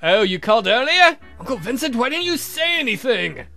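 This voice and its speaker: Guy doing a theatrical vampire count for a game, grumbly but polite, high for a man, swoops around. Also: big pitch swings, normal speed, sharp tone, soft background music, full character voice. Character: exasperated, courtly vampire count with dry wit. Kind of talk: visual novel character voice.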